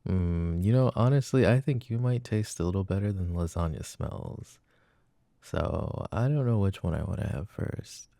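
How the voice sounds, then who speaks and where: Boyfriend role for a male actor: very low, gravelly, slow and halting, loving yet jealous, intimate ASMR roleplay. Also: expressive, warm tone, no echo. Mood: tender, teasing. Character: loving but jealous, uneasy young boyfriend.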